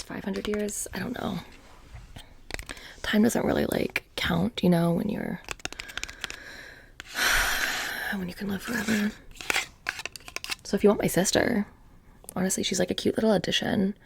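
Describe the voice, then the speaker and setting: A woman playing a petty, blasé immortal witch shopkeeper in a soft-spoken ASMR roleplay, rambling casually and slipping into whispers. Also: medium pitch, slight background noise. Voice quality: soft, breathy, creaky. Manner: casual rambling, many "like" fillers, drifting into whispers. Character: blasé, petty immortal witch running a haunted trinket shop.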